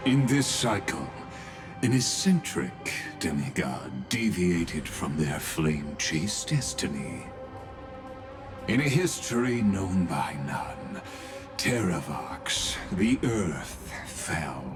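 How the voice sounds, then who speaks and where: Low-pitched male game character, solemn retainer, formal and measured, extremely slow delivery, music behind. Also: sing-song, full character voice. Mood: grave, formal. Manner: formal, measured, archaic phrasing. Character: solemn, deliberate male retainer who reports to his lord. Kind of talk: dramatic video game voice acting.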